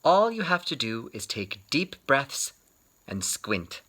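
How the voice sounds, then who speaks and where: A helpful big sister in a children's storybook, read by a man. She sounds matter-of-fact and instructive. Her delivery is animated and bright. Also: mid-range voice, slow pace, exaggerated voice.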